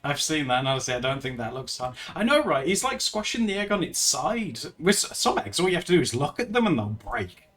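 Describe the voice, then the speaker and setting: Giggly, lively-voiced male streamer. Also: on the high side, fairly quick, hardly any pauses, soft background music. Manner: chatty and giggly, with frequent laughs and restarts. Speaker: livestreamer. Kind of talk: casual livestream chat with viewers while drawing.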